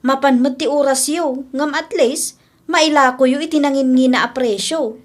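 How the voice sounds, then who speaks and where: A woman's voice: high-pitched, moderate pace, close to an everyday voice. A woman playing a chatty, nosy village woman in an Ilocano radio drama, with an Ilocano Filipino accent and an inquisitive manner. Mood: curious, friendly.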